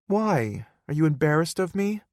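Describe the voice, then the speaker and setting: A man's voice: fairly high pitch, big pitch swings, normal speed, no room sound, clean studio sound, voice pushed up. A man voicing a playful, teasing young woman in an audiobook, raising his voice to sound bubbly and pleading. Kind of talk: audiobook character voice.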